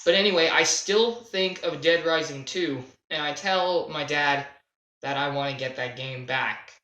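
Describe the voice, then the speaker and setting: A young man telling a casual, unscripted gaming story in a halting, self-deprecating way, stressing key words as he goes. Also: fairly high pitch, bright, forceful tone. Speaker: video creator telling a personal gaming story. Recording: roomy, echoey home recording.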